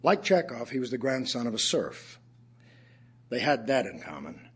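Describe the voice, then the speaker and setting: Casting: wry, weary writer for radio play, husky mid-range voice, measured storytelling of poems and prose. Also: male voice, medium pitch, sing-song. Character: weary, wry male writer telling his own story. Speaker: actor in an audio theatre play. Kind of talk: dramatic recital of poems and prose.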